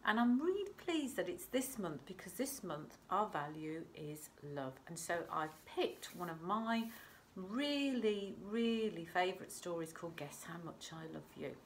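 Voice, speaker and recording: A woman reading a bedtime story to young children, expressive and talking straight to them, sometimes dropping to a hush. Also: fairly low pitch, measured pace, slight background noise. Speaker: primary school storyteller.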